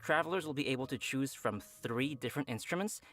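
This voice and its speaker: A man hosts a game update broadcast, reading the event rundown from a script. His pitch swings widely and he drops in playful asides. Faint music plays underneath. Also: animated, fast talker, a bit bright. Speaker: program host.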